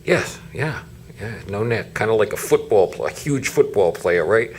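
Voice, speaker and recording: A man with a very low voice tutors a pupil in a casual, encouraging way. His pitch is lively and varied as he asks questions. There is faint noise in the room behind him. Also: moderate pace. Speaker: reading tutor. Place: small meeting room, indoors.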